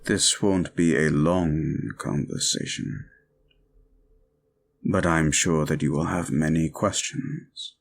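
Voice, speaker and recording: A man playing a suave, possessive vampire in an audio roleplay, speaking formally and deliberately with a commanding manner. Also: extremely low pitch, fairly even intonation, creaky, exaggerated voice. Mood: possessive, measured. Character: suave, controlling male vampire, courtly and possessive. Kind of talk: scripted audio roleplay, slow intimate monologue.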